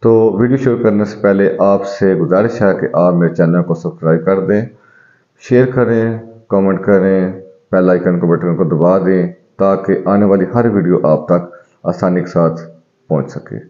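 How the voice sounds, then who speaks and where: A man who is a homeopathic doctor, calmly explaining home eye remedies to his viewers and addressing them as friends. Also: sits low, normal speed. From Pakistan. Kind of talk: health advice video to viewers. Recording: dull, echoey room recording.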